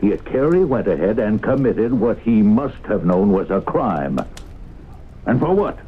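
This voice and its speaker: Probing, plain-spoken small-town man, deep voice; vintage radio drama, muffled and noisy. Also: very animated, slightly rough, exaggerated voice. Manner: deliberate, probing, folksy. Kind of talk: 1970s radio drama acting.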